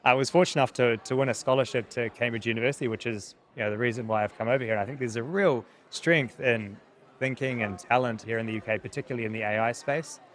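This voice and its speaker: New Zealand man, thirties, medium-pitched voice, earnest and halting interviewee explaining his work. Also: fairly bright tone. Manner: earnest, hesitant with repeated words. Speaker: technology company founder, interview guest. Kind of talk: conversational interview answers.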